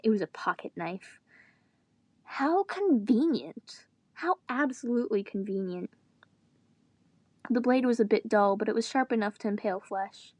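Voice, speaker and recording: A woman's voice: fairly high pitch, expressive, measured pace, pauses often, a little mellow, no echo. A woman reading a story aloud dramatically, with joking asides in her own voice. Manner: breaks character to groan and joke. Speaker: reader of fan fiction.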